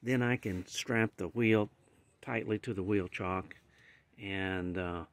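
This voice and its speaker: A man's voice: lowish voice, slow pace, dry recording. An older man casually explaining as he demonstrates gear in a garage, rambling as he goes. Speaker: channel host. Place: garage with van, indoors and outdoors.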